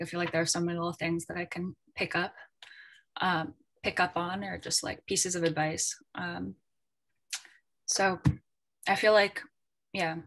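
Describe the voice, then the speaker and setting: A woman with a voice a little lower than average speaks as a guest editor on a panel. Her delivery is conversational and reflective, with tentative, informal phrasing as she works through her thoughts. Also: fairly bright tone.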